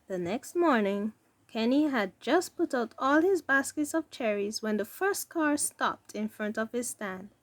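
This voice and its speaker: A woman reading a children's story aloud as narrator, with deliberate, careful phrasing. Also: fairly high pitch, animated, no echo. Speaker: story reader.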